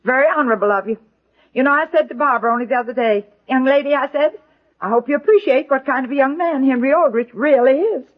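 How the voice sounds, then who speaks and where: A muffled old radio recording carries a woman acting a crotchety old grandmother with a high voice. She is feisty and meddling, bluntly bossing people around in 1940s comedy style. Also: fast talker, close to an everyday voice. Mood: confiding.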